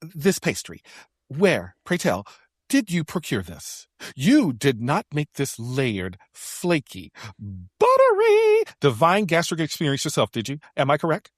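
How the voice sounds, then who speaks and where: A woman playing a theatrical, excitable, food-loving adventurer with a flowery, melodramatic way of talking in a sci-fi audio drama. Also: low voice, measured pace, no echo, studio quality, exaggerated voice. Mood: awed, theatrical. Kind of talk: science fiction audio comedy drama.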